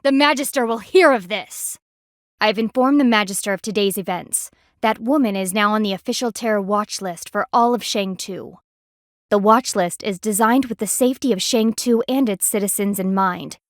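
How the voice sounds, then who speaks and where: A woman's voice: mid-range voice, moderate pace, dry recording, studio quality, natural voice. A woman voicing a stern, haughty panda army lieutenant in a video game, speaking in a formal, clipped, dryly disdainful way.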